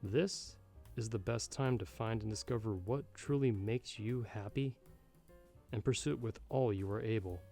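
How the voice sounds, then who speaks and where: A man playing a jaded, sardonic bartender in an audio roleplay, speaking in a measured, slightly formal, wry way over background music. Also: fairly low pitch, mellow, close to an everyday voice. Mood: earnest, measured. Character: jaded, sardonic male bartender giving frank, fatherly advice. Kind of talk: ASMR roleplay, scripted monologue to the listener.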